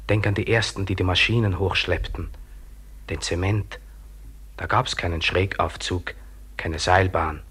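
An actor in a German radio drama playing an insistent companion who urges a trapped worker to endure, in short clipped phrases. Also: male voice, low voice, a bit bright, husky, full character voice. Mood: urgent, insistent.

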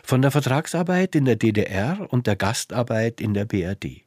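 A man's voice: medium pitch, sing-song, normal speed, a little mellow, no room sound, clean studio sound. A man presenting radio plays in scripted narration.

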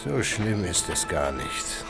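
An actor playing a stoic, dying hobo in his forties in a radio drama, slow and drawn-out with a gravelly voice. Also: low voice, big pitch swings, full character voice. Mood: wry, weary. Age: 40s. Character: dying forty-year-old hobo in a wartime freight car, stoic. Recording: music loud behind the voice. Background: loud music.

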